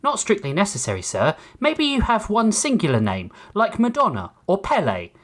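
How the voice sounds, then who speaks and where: Man voicing a calm, posh British robot caretaker, lively intonation. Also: high-pitched, normal speed, voice pushed up, full character voice. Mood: courteous, dry. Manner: upper-crust, courteous, deadpan reassurance. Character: calm, polite, faintly sardonic male robot caretaker.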